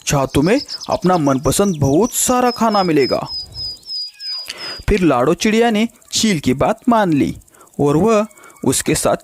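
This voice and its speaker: A man's voice: high voice, slight background noise. A man narrating a children's bird story in a scripted storytelling style.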